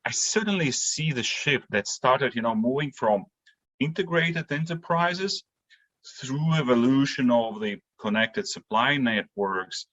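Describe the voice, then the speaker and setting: A man's voice: medium pitch, expressive, a little slow, a bit bright, low-quality recording. A man, giving explanatory answers as a podcast guest. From Latvia. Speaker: podcast guest, industry consultant. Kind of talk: interview answers, explanatory talk.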